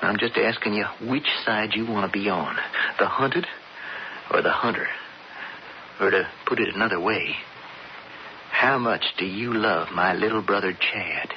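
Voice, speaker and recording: A man's voice: fairly low pitch, measured pace, long pauses. A young Western outlaw in a radio drama, pleading his case with urgency. His American voice is folksy and intense. The vintage broadcast is dull and noisy. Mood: urgent persuasive. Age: young adult. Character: intense, persuasive young male outlaw brother.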